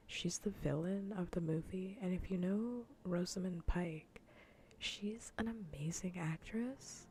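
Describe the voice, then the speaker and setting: A woman with a fairly low voice hosts a casual ASMR livestream. She speaks softly and breathily, mostly in a whisper. Her talk is informal and rambling, with phrases repeated for emphasis. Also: gravelly, a little hiss or hum. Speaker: livestream host. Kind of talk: soft-spoken ASMR casual livestream chat.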